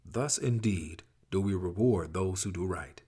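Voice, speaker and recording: A man reads scripture aloud in a fairly low, husky voice. His delivery is solemn and dramatic, measured yet expressive, with formal, archaic phrasing. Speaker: scripture reader.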